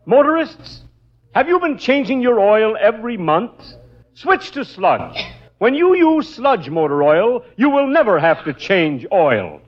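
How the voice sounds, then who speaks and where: An actor playing a hearty, slick announcer in a 1940s radio parody commercial, on a noisy, muffled old recording. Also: male voice, sits high, big pitch swings, unhurried, heavily acted. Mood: hearty salesmanship. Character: hearty, slick mock-commercial announcer pitching motor oil.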